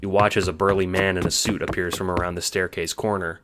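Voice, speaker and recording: A man's voice: deep voice, little pitch movement, quick pace, background noise. A man reading scripted second-person story narration, as the narrator of an audio drama.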